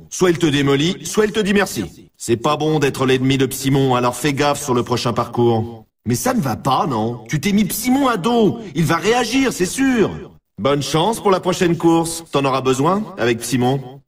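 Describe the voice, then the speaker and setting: A man playing a hyped-up, brash and teasing announcer in a snowboard racing video game, with a husky, rough-edged voice. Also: a little high, far from the mic. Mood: excited congratulation.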